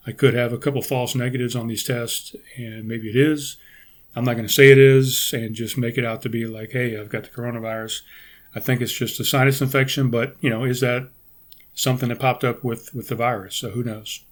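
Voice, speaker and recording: A middle-aged man hosting a podcast, talking casually with a raspy, hoarse and congested voice, pausing often to clear his throat and restarting sentences. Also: medium pitch, steady tone. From United States. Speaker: podcast host. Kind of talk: casual solo podcast talk.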